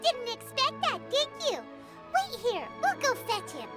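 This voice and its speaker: A woman voicing a tiny, excitable female fairy companion in a video game, brash and cheeky, talking about herself in the third person. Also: very high-pitched, a little slow, exaggerated voice. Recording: game audio under loud music.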